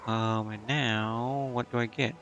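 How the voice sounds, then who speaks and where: A man's voice: medium pitch, fairly even intonation, slow, highs cut off, music in the background. A man giving casual live gameplay commentary, thinking aloud to himself in a hesitant, muttering way. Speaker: game streamer.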